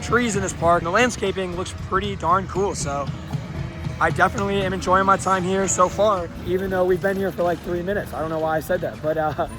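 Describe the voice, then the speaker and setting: A young man with a hoarse, worn-out voice talks to his camera outdoors at an amusement park. His voice sits high and comes out eager and chatty, full of ums and likes. Music plays clearly in the background. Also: fairly even intonation, brisk, low-quality recording. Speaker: vlogger host. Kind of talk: casual vlog walkthrough.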